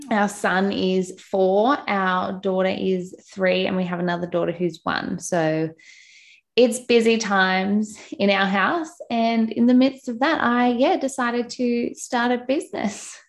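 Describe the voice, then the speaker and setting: A young Australian woman talking as a podcast guest, with a slightly gravelly edge to her voice. She chats loosely and self-deprecatingly, filling pauses with ums and uhs. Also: mid-range voice. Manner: chatty, self-deprecating, many fillers. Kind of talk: casual interview chat.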